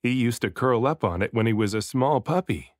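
A man narrates a picture book for young children with expressive emphasis. His medium-pitched voice rises and falls in a lively, animated way. The sound is dry and close, with very little room. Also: brisk, no echo. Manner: expressive, varies delivery for emphasis. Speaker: children's book reader, dad and voice actor. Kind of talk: read-aloud storybook narration.

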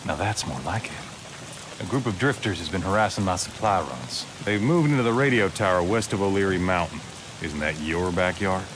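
Deep-voiced, smug camp boss. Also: male voice, animated. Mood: commanding. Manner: commanding, smug, deliberate. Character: controlling, shrewd survivor camp boss. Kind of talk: video game cutscene acting. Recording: clear rain-like noise behind.

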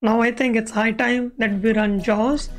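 A woman's voice: on the high side, steady tone, unhurried. A woman explaining code step by step as the instructor in a screencast tutorial. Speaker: web accessibility instructor.

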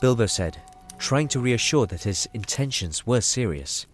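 A man's voice: medium pitch, lively intonation, normal speed, faint music behind. A man reading scripted story narration as the narrator of an audio drama.

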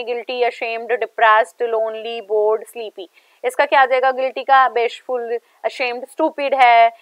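A young woman with an Indian English accent, teaching a class in a mix of Hindi and English and slowing down on English examples. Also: high-pitched, fairly bright tone, no echo, poor audio. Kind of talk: recorded lecture over slides. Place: indoor room.

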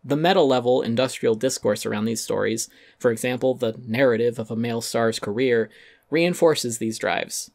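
A man's voice: medium pitch, normal speed, gravelly, no room sound. A man giving a dramatic reading of a critical essay, narrating with emphatic, sardonic delivery and some swearing.

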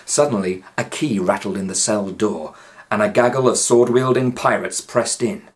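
A man's voice: on the low side, moderate pace. A man, narrating a children's story expressively and dramatically. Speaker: author reading aloud. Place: small home room, indoors.

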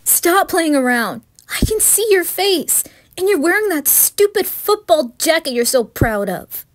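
A woman playing a terrified young woman trapped at home, intense, pleading and often hushed, in a horror story. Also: very high-pitched, big pitch swings, a little slow, exaggerated voice. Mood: angry, panicked. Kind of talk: dramatised horror story reading.

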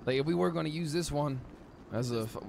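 A man's voice: fairly high pitch, quick pace, gravelly, darkish tone. A man streaming a role-play game, chatting casually with profane, teasing banter. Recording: game car and street noise.

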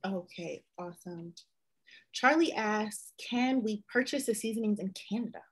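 A woman in her thirties reads viewer questions aloud over a webcam, keeping a friendly, measured pace. Her voice has a soft breathy edge and sits at a middle pitch. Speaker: live event host. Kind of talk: reading audience questions. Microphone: video call, webcam.

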